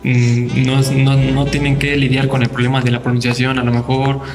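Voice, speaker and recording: A man's voice: mid-range voice, completely flat delivery, moderate pace, music in the background. A man chatting on a casual podcast panel, thinking aloud hesitantly. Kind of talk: casual group discussion.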